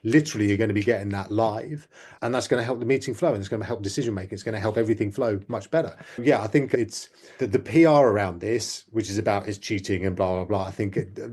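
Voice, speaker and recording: A man co-hosting a podcast, talking with quick, emphatic enthusiasm. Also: lowish voice, darkish tone, husky. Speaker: podcast co-host. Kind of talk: casual chat.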